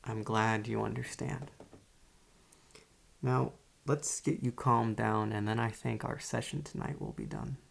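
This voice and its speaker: A man playing a calm, morbidly literary psychiatrist in an ASMR roleplay, speaking in a measured, solemn and quietly controlling way. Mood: soothing, insistent. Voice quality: low, gravelly. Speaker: therapist. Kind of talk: ASMR roleplay, poetry recitation and monologue.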